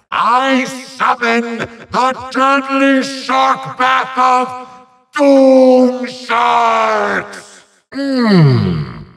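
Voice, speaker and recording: An actor playing a pompous, short-tempered evil sorcerer villain in a video game, with a gravelly, nasal voice and bombastic, sputtering theatrical delivery. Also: male voice, mid-range voice, a bit bright, far from the mic, exaggerated voice. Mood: sneering, smug.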